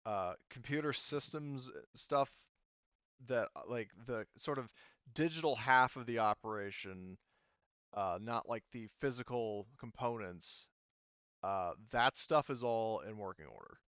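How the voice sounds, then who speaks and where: A man's voice: medium pitch, unhurried, fairly bright tone, clear, resonant tone, no room sound, muffled recording. A man running a tabletop roleplaying game as game master, explaining rulings with many fillers and restarts.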